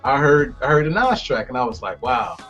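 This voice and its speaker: A man on the panel giving his views in a relaxed, reflective way. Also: medium pitch, brisk. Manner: reflective, acts out sounds in stories. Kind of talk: casual conversation. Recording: lo-fi, faint music behind.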